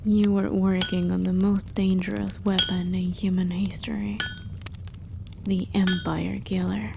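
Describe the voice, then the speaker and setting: Woman performing immortal demigoddess warrior, jaded sardonic captor, gravelly fry, slow delivery, soft near-whisper, ASMR roleplay. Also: on the low side, very noisy. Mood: sardonic, grudging. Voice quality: soft, breathy, often dropping to a near whisper. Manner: sardonic, conversational, world-weary storytelling. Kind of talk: ASMR roleplay, dramatic monologue.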